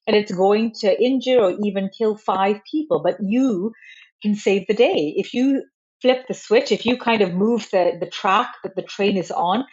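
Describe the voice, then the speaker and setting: A woman psychologist from Malaysia, answering interview questions in a measured, explanatory way. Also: mid-range voice, darkish tone, dull audio. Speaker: psychologist guest.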